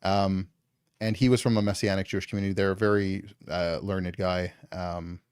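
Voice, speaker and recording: A man from Canada, a guest speaking in a notably deep register. He rambles casually, filling gaps with ums and likes. His voice sounds close and dry, with no room around it. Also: little pitch movement, normal speed, darkish tone, clean tone, dry recording. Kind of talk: casual conversation.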